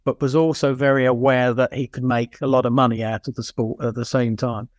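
A man's voice: medium pitch, little pitch movement, brisk, no echo, studio quality. A middle-aged or older man co-hosting a podcast, chatting casually about motorsport in a rambling way, repeating words for emphasis. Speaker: podcast co-host.

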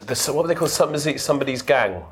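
A man interviewing an author in casual conversation, halting and restarting often. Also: fairly high pitch, lively intonation. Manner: halting, many restarts and fillers.

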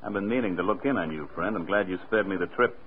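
A man's voice: low voice, fast talker, close to an everyday voice. An actor playing a menacing gunman in an old radio crime drama, with slangy, taunting tough-guy talk. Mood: cool menace. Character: menacing gunman thug, tough-talking, coolly threatening. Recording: tinny, noisy old radio recording.

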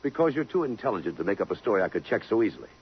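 An actor playing a tough, terse police lieutenant who is quietly smitten, blunt and clipped, in a 1950s radio drama. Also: male voice, lowish voice, very animated, very fast, a little hiss or hum. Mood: probing, blunt. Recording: dull lo-fi 1950s radio recording.

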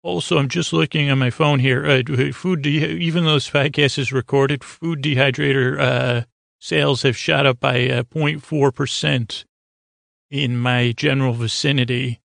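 A man with a creaky voice hosting a bedtime podcast in a soothing, meandering, hesitant monologue full of stammers and tangents. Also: medium pitch, fairly even intonation, normal speed, fairly bright tone, no echo, studio quality. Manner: soothing, lulling delivery. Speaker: podcast host.

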